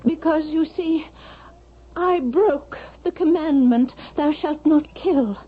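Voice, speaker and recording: An actress playing a grave, troubled woman in a radio drama, with a formal, halting, dramatic delivery. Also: high-pitched. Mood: grave, anguished. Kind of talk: 1970s radio drama acting. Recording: tinny vintage radio, faint noise.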